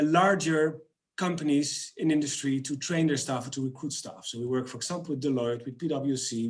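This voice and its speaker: A middle-aged man with a Dutch accent presents his company over a video call, upbeat, with many fillers and restarts. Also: medium pitch. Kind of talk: webinar product presentation.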